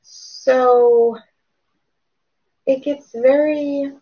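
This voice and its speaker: A woman's voice: sits high, fairly even intonation, extremely slow, husky. A woman with a Midland American accent from Missouri, giving a chatty webinar lesson over a webcam with lots of fillers. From Midwestern United States. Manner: chatty, many fillers and restarts. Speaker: webinar presenter. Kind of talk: casual slideshow lecture. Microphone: webcam on a computer. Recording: dull lo-fi webinar audio.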